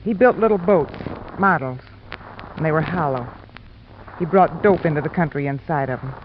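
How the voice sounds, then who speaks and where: An actress playing an anxious, secretive widow in a vintage radio drama, hesitant and urgent. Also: female voice, low voice, normal speed, lightly acted. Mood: nervous, confessing. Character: anxious, secretive young widow confiding in a detective. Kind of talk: 1940s-50s radio drama acting. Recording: muffled, noisy vintage radio recording.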